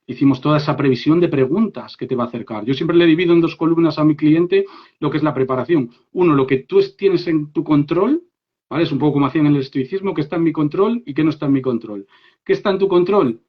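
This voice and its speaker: A man from Spain with a Spanish accent and a fairly high voice, leading a live online workshop as an English coach. He talks in a lively, direct way, checking in with his listeners often. The audio is dull and lo-fi, like a livestream. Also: quick pace. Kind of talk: informal live workshop talk. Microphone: phone or laptop mic on a live stream.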